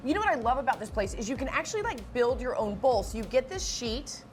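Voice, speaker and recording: A woman's voice: a little high, fast talker, slight background noise. A woman hosting a lifestyle show, reacting enthusiastically as she tastes food. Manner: enthusiastic, animated reactions while tasting. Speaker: TV host.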